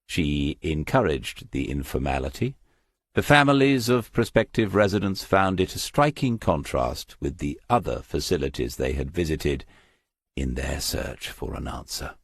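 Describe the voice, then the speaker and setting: A man with an extremely low, husky voice narrates a crime novel in a measured storytelling manner. The recording is dry and close, with very little room around the words. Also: animated, dry recording. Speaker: audiobook narrator.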